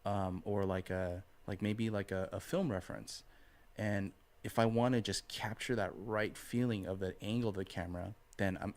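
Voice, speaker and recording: A man speaking as a guest artist in a casual podcast interview, thoughtful and hesitant, repeating phrases and checking in with "right" and "you know". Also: lowish voice. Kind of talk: casual podcast interview, explaining art process.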